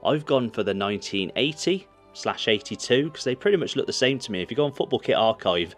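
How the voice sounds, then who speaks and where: A man's voice: medium pitch, expressive, brisk. A man in his thirties with an English accent, hosting a casual countdown vlog in a chatty, opinionated way, talking straight to viewers. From England. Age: 30s. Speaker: host of a football shirt channel. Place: small room full of football memorabilia. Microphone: small wireless mic held in hand. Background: music.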